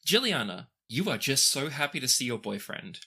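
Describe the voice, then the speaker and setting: A man with a bright, forward voice of medium pitch narrates as game master. He addresses the players directly as he tells the story of a tabletop role-playing game. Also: sing-song, moderate pace, husky. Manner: second-person storytelling.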